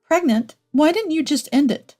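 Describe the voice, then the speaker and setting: An earnest, wounded wife and mother, acted by a woman narrator, speaks pleadingly in a story drama. The voice is fairly high and soft-toned. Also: normal speed, faint music behind. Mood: shocked. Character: adult wife and mother, hurt but protective.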